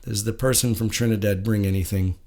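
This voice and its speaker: Rambling male host, low voice. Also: fairly even intonation, brisk, a little mellow. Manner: friendly, rambling, with many uhs. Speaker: show host. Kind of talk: casual interview chat.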